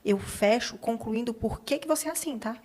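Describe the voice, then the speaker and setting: A woman's voice: on the high side, a little fast, darkish tone. A Brazilian woman hosting a podcast interview in a conversational style, reflective and affirming toward her guest. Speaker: podcast host.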